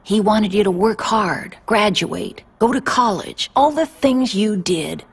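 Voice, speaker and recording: Husky, gentle widowed aunt. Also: female voice, mid-range voice, expressive, unhurried, heavily acted. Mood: reassuring. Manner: earnest, reassuring, motherly. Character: caring older widowed aunt, gentle but firm. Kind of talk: dramatic game voice acting. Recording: music behind.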